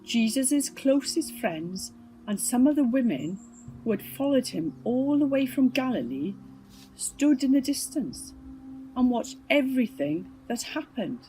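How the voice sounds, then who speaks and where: Woman, children's story narrator, highish voice, very unhurried pace, some music behind. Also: big pitch swings, mellow, rough recording. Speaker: storybook reader. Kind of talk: scripted children's Bible story reading.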